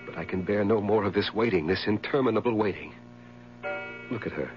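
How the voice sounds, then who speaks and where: An actor playing a grief-stricken, haunted husband in a theatrical, impassioned 1970s radio drama style. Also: male voice, lowish voice, a little fast, over music, close to an everyday voice. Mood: desperate pleading. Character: anguished, grief-stricken husband haunted by his dead wife. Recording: muffled old radio recording, mains hum.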